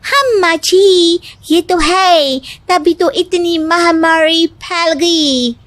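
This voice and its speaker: A woman plays a sweet young daughter-in-law in an animated moral tale. The voice is very high and girlish, with slow, polite, eager delivery. The sound is close and dry. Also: no echo, exaggerated voice. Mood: agreeable. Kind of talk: Hindi animated moral story acting.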